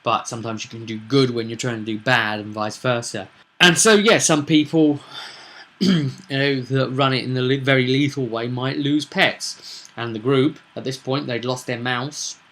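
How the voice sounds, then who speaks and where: Man with a bright, forceful voice, chatting casually. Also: mid-range voice, moderate pace. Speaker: game master presenting own session recap. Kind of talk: casual unscripted commentary on a tabletop roleplay game.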